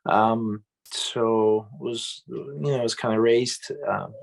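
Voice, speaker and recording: A young adult man from Colombia, a pastor and music minister, telling stories in a loose, animated way on an interview show. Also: medium pitch, slow, vocal fry, low-quality recording. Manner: loose and animated, says "man" and "bro" a lot. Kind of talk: casual interview storytelling.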